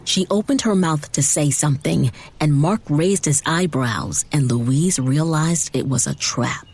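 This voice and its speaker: A woman reading dramatized fiction narration in a measured way with deliberate emphasis. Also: low voice, darkish tone, breathy edge. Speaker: audiobook narrator.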